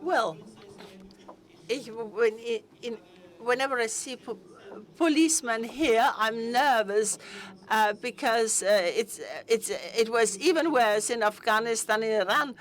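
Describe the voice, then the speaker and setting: Slow, halting woman interpreter. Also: on the high side. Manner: halting, with frequent fillers and restarts. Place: conference hall.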